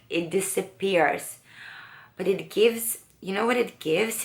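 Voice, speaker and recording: A woman with a low, raspy voice chatting slowly through a makeup tutorial, pausing often. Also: roomy sound. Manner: unhurried, musing, with many pauses and fillers. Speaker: beauty vlogger. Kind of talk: casual makeup tutorial chat.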